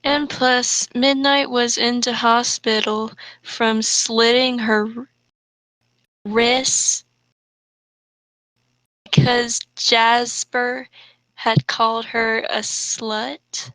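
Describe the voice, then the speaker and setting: Casting: female comic reader, bright high voice, very slow deliberate delivery, dry close sound. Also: flat delivery, no room sound, low-quality recording. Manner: deliberate, stumbles over misspellings read as written. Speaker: host and reader. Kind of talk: comic read-aloud of bad fan fiction with banter.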